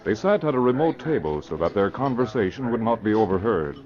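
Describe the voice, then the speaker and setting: A man's voice: lowish voice, expressive, a little fast, a little mellow. A man with an American accent reading dramatic scripted narration in 1940s radio style, formal and portentous. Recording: muffled vintage radio, faint music behind.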